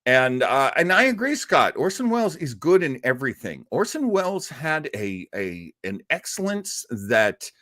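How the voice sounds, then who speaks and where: Man, livestream host, lively swooping pitch, dry close sound, rambling casual chat. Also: moderate pace, few pauses, dry recording. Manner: animated, rambling, with frequent laughs and restarts. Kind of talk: casual chat with live chat viewers.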